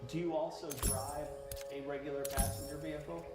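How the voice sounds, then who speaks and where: A man's voice: fairly high pitch, flat delivery, brisk, loud music behind the voice. A man working as an attorney, methodically asking repetitive leading questions in a deposition. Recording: roomy, distant, noisy deposition recording.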